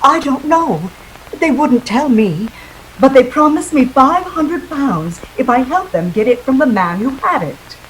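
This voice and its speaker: A woman's voice: high voice, very animated, a little slow, rough recording. A scheming femme fatale acted by a woman in a 1940s radio play. Her delivery is melodramatic and seductive, rising and falling widely. A little noise runs behind her. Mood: coy, evasive. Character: scheming, seductive femme fatale dame, world-weary liar.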